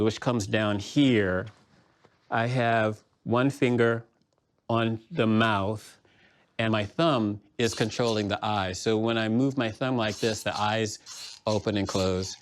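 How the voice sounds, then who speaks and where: An older man from Washington, D.C., with an American accent, explaining his work in a measured documentary interview style. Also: fairly low pitch. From Washington, D.C., United States. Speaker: puppeteer, artist, museum curator.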